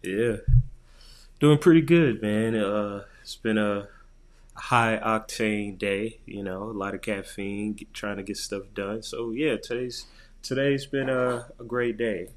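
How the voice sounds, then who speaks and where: Male podcast co-host, slightly low voice, relaxed and rambling, casual conversation. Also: slow pace. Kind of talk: casual chat.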